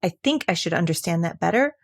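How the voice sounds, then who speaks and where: A woman's voice: on the low side, lively intonation, fast talker, gravelly, no echo, clean studio sound. A woman voicing a polite, cautious young girl in a storybook, earnest and tentative, with opinions of her own. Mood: polite, restrained.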